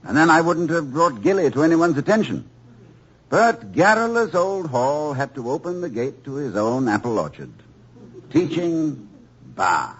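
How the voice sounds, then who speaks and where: An actor playing a genial, self-deprecating male professor in a 1950s radio drama, speaking with a husky voice. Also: fairly high pitch, sing-song, unhurried, heavily acted. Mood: rueful. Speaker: college professor. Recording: dull lo-fi old radio broadcast.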